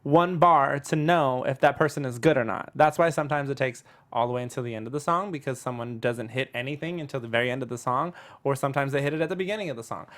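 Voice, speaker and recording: A man co-hosting a TV review panel who rattles off his points quickly. He states his opinions bluntly and dismissively. His pitch sits in a middle range. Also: fairly bright tone. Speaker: co-host of a TV after-show. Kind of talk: casual panel chat.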